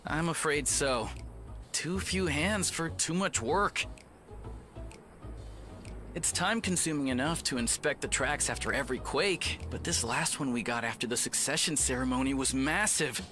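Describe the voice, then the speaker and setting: An actor playing an earnest, polite and effusive railway worker in a video game cutscene. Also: male voice, a little high, normal speed, music in the background, lightly acted. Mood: apologetic, weary. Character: earnest, eager male railway worker, overworked and grateful.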